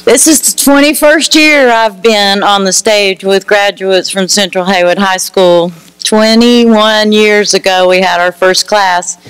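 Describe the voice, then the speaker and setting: A middle-aged woman, a lead teacher with a naturally loud voice, giving a ceremony speech in an auditorium with a warm, chatty way of addressing the audience. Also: medium pitch, a little slow, smooth, no room sound, poor audio.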